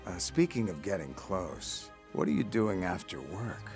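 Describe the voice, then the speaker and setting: Game role: flirty playboy hero, deep voice, full of confidence. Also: male voice, animated, measured pace, soft background music. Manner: flirty, self-assured. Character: smooth, flirtatious, cocky playboy male superhero. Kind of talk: comedic game cutscene acting.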